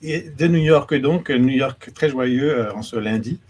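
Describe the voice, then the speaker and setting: A man's voice: mid-range voice, measured pace, a little mellow. A man interviewing an author, speaking hesitantly and repeating words as he builds his questions. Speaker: interviewer, education attaché. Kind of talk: moderated conversation. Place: New York.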